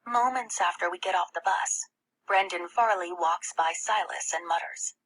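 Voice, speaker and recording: An earnest young schoolgirl telling her own story in an audiobook. The voice is bright and husky with plenty of breath in it. The reading is tender and expressive, dropping into hushed passages. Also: fairly low pitch, moderate pace, poor audio. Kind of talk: first-person audiobook narration.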